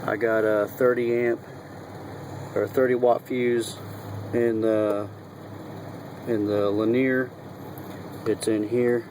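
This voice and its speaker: A man's voice: medium pitch, level tone, slow pace, pauses often. A man giving a casual, folksy how-to walkthrough in and around a vehicle, talking in colloquial speech to a handheld camera. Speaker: truck driver and hobbyist demonstrator. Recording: noisy vehicle and engine hum.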